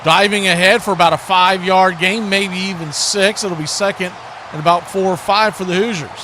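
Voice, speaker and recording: A man's voice: sits high, steady tone, moderate pace. A man calling live American football play-by-play in short, clipped phrases on a live stream. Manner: clipped phrases, raises voice on big plays. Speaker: play-by-play commentator. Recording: noisy live stream with background noise.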